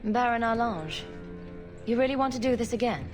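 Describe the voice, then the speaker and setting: Casting: actress for a composed female fantasy game hero, measured and diplomatic, over clear music with reverb. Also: on the high side, lively intonation, close to an everyday voice. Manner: measured, questioning. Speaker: video game protagonist. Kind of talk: game cutscene dialogue.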